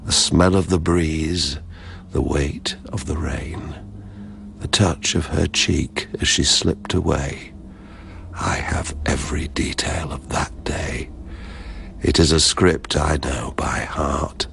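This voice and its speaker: A man playing a weary, grieving middle-aged father in a poetic radio drama, speaking in slow, halting verse. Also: extremely low pitch, fairly even intonation, vocal fry, fairly bright tone, slight background noise, full character voice. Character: grieving, weary father haunted by his daughter's death.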